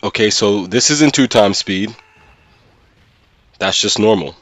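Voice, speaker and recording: A man with a very deep voice hosts a casual gaming livestream. His talk is slangy and conversational, often checking in with listeners. The sound is close and dry, with very little room in it. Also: slow pace, fairly bright tone, no room sound. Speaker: streamer and host of a gaming channel.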